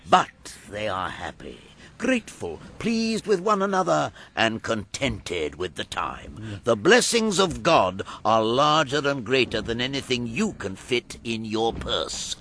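An actor in a radio drama playing a solemn, commanding ghostly spirit who moralizes with heavy emphasis. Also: male voice, mid-range voice, big pitch swings, bright, forceful tone, exaggerated voice. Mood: solemn, admonishing. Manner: slow, emphatic, moralizing delivery.

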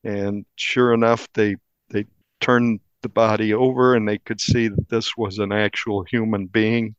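A man speaking as a reporter in an interview, recounting things in a measured, descriptive, conversational way. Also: fairly low pitch, level tone, clear, resonant tone, no room sound. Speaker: newspaper reporter, interview guest. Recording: dull, band-limited audio.

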